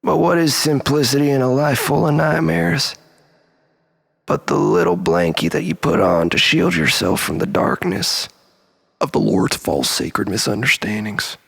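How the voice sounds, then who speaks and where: A man telling a rambling, melodramatic story as a mock-philosophical monologue, in a husky, gravelly voice with long echo. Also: medium pitch, big pitch swings, unhurried, fairly bright tone. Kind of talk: dramatic comic monologue storytelling. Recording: long reverberant hall echo.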